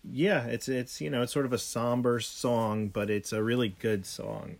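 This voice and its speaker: A man's voice: mid-range voice, steady tone, normal speed, gravelly. A middle-aged man co-hosting a casual home podcast, rambling with many ums and restarts. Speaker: podcast co-host.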